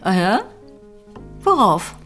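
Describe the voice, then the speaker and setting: A woman's voice: medium pitch, very animated, takes it slowly, a bit bright, faint music behind. An actress playing a middle-aged police inspector and mother in a radio drama, direct and insistent in her questioning. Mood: tentative, caring. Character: probing female police inspector, mother of grown son. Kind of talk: naturalistic German radio drama acting.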